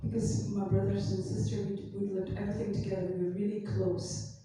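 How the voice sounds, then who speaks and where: Female church interpreter, mellow voice, distant, heavy chapel echo. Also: mid-range voice, level tone, normal speed, slight background noise. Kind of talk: consecutive interpreting into English. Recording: echoey chapel from far off.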